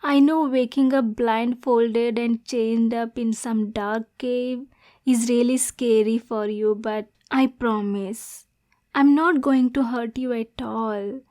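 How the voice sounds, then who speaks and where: A woman's voice: on the high side, fairly even intonation, takes it slowly, a little mellow. A woman playing a lonely, anxious, lovesick demon girl in an ASMR roleplay, stammering and pleading with coaxing endearments. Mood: soothing, anxious.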